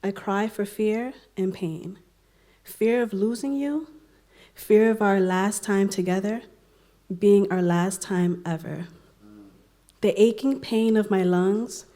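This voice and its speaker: Slow, mellow-voiced woman reading a poem. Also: medium pitch. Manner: soft, unhurried and emotional. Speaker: open mic poet. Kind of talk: spoken word poetry reading. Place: community center event.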